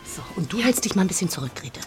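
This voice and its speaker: A woman playing a brisk, teasing young seamstress with conspiratorial, half-whispered asides in a German radio drama. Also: lowish voice, very animated, over music.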